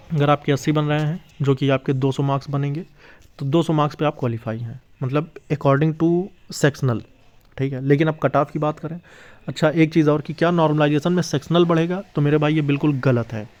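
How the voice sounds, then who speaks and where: A man's voice: fairly high pitch, darkish tone. A man hosting an exam-prep video, explaining informally and emphatically to candidates, often checking that they follow. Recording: dull, lo-fi sound.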